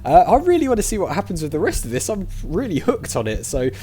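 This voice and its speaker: A man's voice: sits high, wildly swooping pitch, quick pace, dark tone, a little hiss or hum. A man giving casual let's-play commentary as a channel host, chatting and thinking aloud in questions as he plays. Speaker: video game player and channel host.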